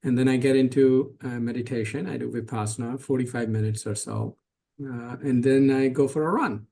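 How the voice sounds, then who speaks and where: Middle-aged man, mid-pitched voice, startup CEO, earnest, self-correcting, casual panel talk. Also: steady tone, fairly quick, vocal fry, mellow, a little hiss or hum.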